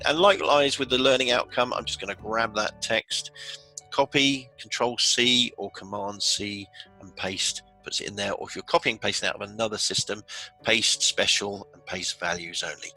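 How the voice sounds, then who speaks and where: A man with a fairly low, very bright and forceful voice presents an instructional screencast. There is noticeable creak in his tone. He explains informally, one step at a time, like a casual software tutor. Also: poor audio.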